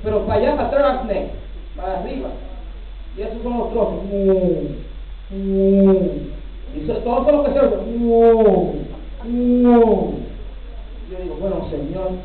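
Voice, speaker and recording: Fervent high-voiced preacher in echoing church. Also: male voice, a little slow, pauses often. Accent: New York Puerto Rican Spanish. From New York. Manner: fervent, peppered with hallelujahs, switches into English. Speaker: pastor. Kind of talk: Pentecostal sermon testimony. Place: church sanctuary, at a pulpit. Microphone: handheld mic, recorded from far back. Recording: distant, echoey, tinny church recording.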